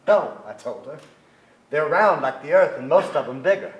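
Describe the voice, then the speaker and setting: Actor as brooding son, echoey stage. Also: male voice, on the high side, animated, normal speed, lo-fi sound, close to an everyday voice. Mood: wistful, remembering. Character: brooding, restless adult son, narrator of memories. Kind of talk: theatrical staged reading. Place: theatre stage before an audience. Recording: echoey theatre stage, some noise.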